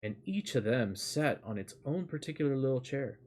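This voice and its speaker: A man's voice: mid-range voice, expressive, normal speed, darkish tone. Casual man reading stories and chatting. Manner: casual, rambling, swears lightly. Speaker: storyteller and streamer. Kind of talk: reading a fairy tale aloud, casual livestream chat.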